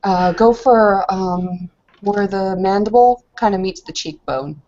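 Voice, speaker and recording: A woman casually narrating a tabletop roleplaying game in an informal, halting way, pausing to think. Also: mid-range voice, dark tone, a little hiss or hum. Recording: dull lo-fi voice recording.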